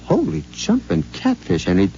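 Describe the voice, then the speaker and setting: A nervous, stammering fellow whose pitch leaps wildly from low mutters to high yelps. He has a mellow, darker tone, heard through a dull, hissy 1950s radio broadcast. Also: huge pitch swings, normal speed. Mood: shocked. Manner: stammering, exclaims in alarm. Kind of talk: 1950s radio drama acting.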